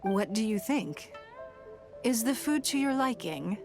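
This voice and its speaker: An actress playing an elegant, shrewd and wealthy businesswoman in a video game, speaking in a composed, measured, refined and gracious way. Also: on the high side, animated. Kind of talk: scripted video game character dialogue. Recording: music behind the voice.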